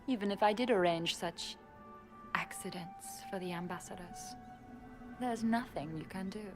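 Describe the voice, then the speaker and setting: An actress playing a defiant, cunning elven rebel in a fantasy game, cool and sardonic. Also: female voice, mid-range voice, normal speed, music in the background, close to an everyday voice. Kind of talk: fantasy video game acting.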